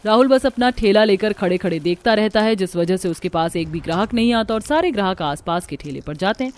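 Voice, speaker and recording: A woman's voice: medium pitch, expressive, fairly quick. A woman giving scripted narration for a Hindi cartoon story.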